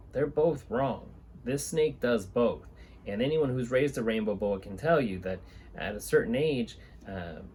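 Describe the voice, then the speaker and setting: Man in his thirties, noisy room. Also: medium pitch. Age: 30s. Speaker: host of a reptile-keeping channel, reading books aloud. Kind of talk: reading aloud and informal talk to camera. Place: small indoor room with reptile enclosures and a fan. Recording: clear background room noise.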